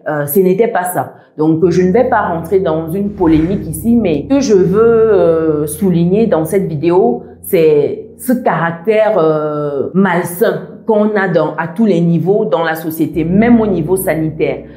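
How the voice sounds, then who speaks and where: Woman vlogger with a diaspora accent, low and mellow, deliberate, hesitant pauses, echoey room. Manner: measured, methodical, many "euh" pauses. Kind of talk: explaining to camera.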